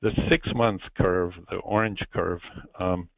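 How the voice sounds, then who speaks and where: A man giving an explanatory financial presentation as an investment chair, speaking haltingly and repeating phrases over a conference call phone line. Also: sits low, fairly even intonation, gravelly, no room sound. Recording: muffled narrowband phone line.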